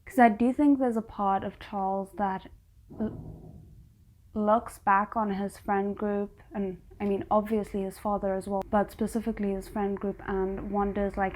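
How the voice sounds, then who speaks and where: A woman chats about an episode she has just watched. She thinks out loud, tripping over words and circling back with ums and likes, in an easygoing, conversational way. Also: mid-range voice, little pitch movement, measured pace, slight background noise. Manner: chatty, thinks aloud with many fillers and restarts. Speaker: reaction video host. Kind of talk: casual reaction commentary.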